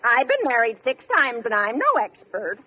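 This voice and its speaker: A woman's voice: on the high side, big pitch swings, moderate pace. A woman playing a droll, plain-spoken middle-aged housemaid in a vintage radio sitcom. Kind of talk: 1940s-50s radio sitcom acting. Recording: muffled, noisy radio recording, live audience.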